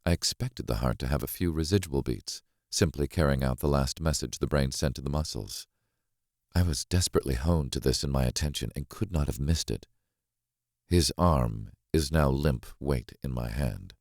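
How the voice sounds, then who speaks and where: A man narrating a thriller novel as a grave, watchful witness, measured and deliberate in his delivery. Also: very deep voice, darkish tone, no echo, clean studio sound. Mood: grave, suspenseful. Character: grave, watchful man recounting a horrific stage act. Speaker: audiobook narrator.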